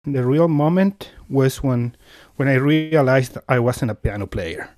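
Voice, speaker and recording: Male composer, Argentine accent, relaxed and chatty, mid-pitched voice. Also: measured pace, dry recording, studio quality. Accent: Argentine Spanish. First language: Spanish. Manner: relaxed, repeats words, laughs easily. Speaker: composer and sound artist guest. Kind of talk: interview conversation.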